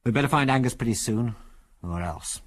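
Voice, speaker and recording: A man's voice: mid-range voice, animated, brisk, natural voice. A man playing a cool-headed, terse and commanding adventurer hero in an audio adventure story. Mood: grim. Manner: terse, authoritative.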